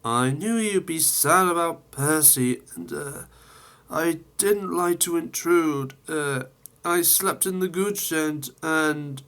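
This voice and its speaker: A man voicing a cheeky, bustling male tank engine who talks in a hurried, brisk way. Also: a little high, a bit bright, voice pushed up. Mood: evasive.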